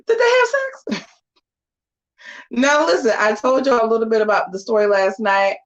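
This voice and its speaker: A woman's voice: fairly high pitch, big pitch swings, normal speed, highs cut off. A woman in her forties chatting casually to her viewers while getting ready, sassy and full of slangy asides. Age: 40s. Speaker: vlogger. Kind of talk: casual get-ready-with-me chat.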